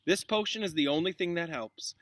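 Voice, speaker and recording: A man's voice: a little high, brisk, fairly bright tone, no room sound, voice pushed up, lightly acted. A man voicing a mild, courteous wizard professor in a storybook, politely and genially. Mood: calm, confiding.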